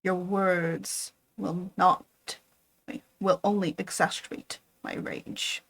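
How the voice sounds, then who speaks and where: Woman voicing a firm, angry young male scientist, loud storybook declaiming, plain voice. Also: on the low side, steady tone, slow, gravelly, exaggerated voice, poor audio.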